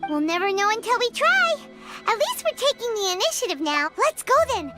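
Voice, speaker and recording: Squeaky girlish fairy companion, chatty, bouncing pitch, game cutscene, loud music behind. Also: big pitch swings, brisk. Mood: excitable. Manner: chatty, talks about herself by name. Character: tiny excitable floating fairy companion, girlish. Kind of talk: video game cutscene dialogue.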